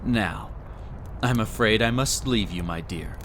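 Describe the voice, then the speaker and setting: A man playing a possessive, wicked prince in a hypnosis roleplay audio, speaking in a deliberate, coaxing, commanding and pompous way. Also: on the low side, fairly bright tone, slight background noise. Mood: smug, possessive. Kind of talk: scripted roleplay audio, slow hypnotic monologue.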